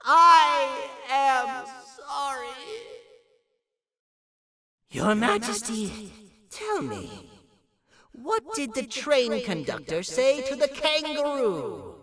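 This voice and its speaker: An actor playing a manic jester monster in a video game, theatrical, swinging from shouts to hushed brooding, desperate for laughs. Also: male voice, very high voice, lively intonation, extremely slow, pauses often, exaggerated voice. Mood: desperate, pleading. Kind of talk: video game character acting.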